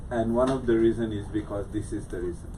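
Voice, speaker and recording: A man's voice: fairly low pitch, quick pace, mellow. A man moderating a panel discussion, asking questions and summarizing points. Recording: distant mic with background noise.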